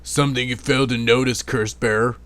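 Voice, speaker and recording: A man reading as a bright-voiced defiant young pony heroine. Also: medium pitch, fairly even intonation, fast talker, lightly acted. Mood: smug, defiant. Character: young filly pony demon hunter, defiant.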